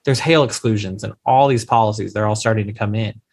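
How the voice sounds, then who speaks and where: A man's voice: fairly low pitch, fairly quick, vocal fry, darkish tone. A man, an insurance attorney, explaining coverage to investors in an emphatic way, often with rhetorical questions, on a podcast interview. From Louisiana, USA.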